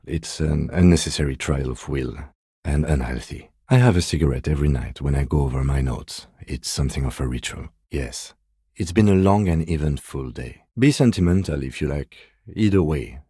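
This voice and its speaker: Middle-aged male detective, very low voice, mellow, understated, formal, principled, video game dialogue. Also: breathy edge, no room sound, exaggerated voice. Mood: dryly polite, then earnest, reserved. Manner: measured, dry, understated, formal. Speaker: voice actor.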